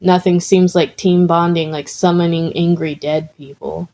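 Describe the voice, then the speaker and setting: Storybook role: brash, foul-tempered schoolboy voiced by a woman, gruff, lowish and mellow-toned. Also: fairly even intonation, normal speed, close to an everyday voice. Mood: scornful. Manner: gruff, scornful. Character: brash, hot-tempered, foul-mouthed teenage boy.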